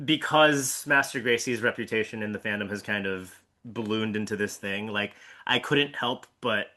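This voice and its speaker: A man with a bright, forward voice pitched a little low hosts a casual interview. He asks his questions in a friendly way, hesitating often with ums and restarts as he works toward the point. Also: normal speed. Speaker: interviewer and project host.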